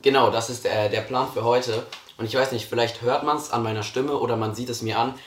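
A hoarse teenage boy co-hosting a podcast, chatting casually and joking with many restarts. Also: medium pitch, steady tone, brisk, a little hiss or hum. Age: teens. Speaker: podcast co-host. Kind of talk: casual chat. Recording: roomy echo.

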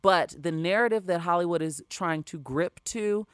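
A woman's voice: on the low side, normal speed, clean tone, dry recording, studio quality. Sassy, emphatic woman host. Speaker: podcast host. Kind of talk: casual chat. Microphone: close studio mic.

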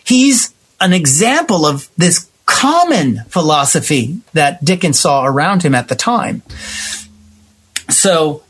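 A man's voice: fairly high pitch, sing-song, takes it slowly, fairly bright tone, slightly rough. A man talking in an impassioned, conversational interview style, explaining his ideas emphatically as a guest playwright and director. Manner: emphatic, explanatory, acting out imagined remarks.

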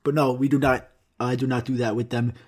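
A man's voice: medium pitch, level tone, a little fast, husky. A young man who grew up speaking Greek, rambling casually and swearing while answering viewer questions. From United States. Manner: rambling, profane, self-mocking. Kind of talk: casual solo Q and A.

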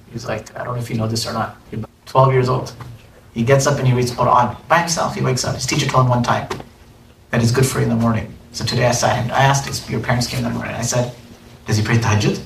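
A man's voice: mid-range voice, level tone, quick pace, creaky. A man giving a religious lesson, reciting Arabic quotations and explaining them in a casual, conversational way. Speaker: religious teacher. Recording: echoey room, distant mic, faint noise.

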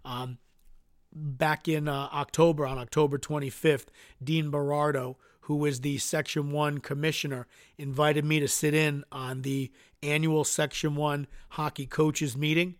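A man's voice: a little high, level tone, measured pace, no room sound, studio quality. A man hosting a solo podcast, earnest and dotted with uhs, sounding nasal from a cold. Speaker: podcast host.

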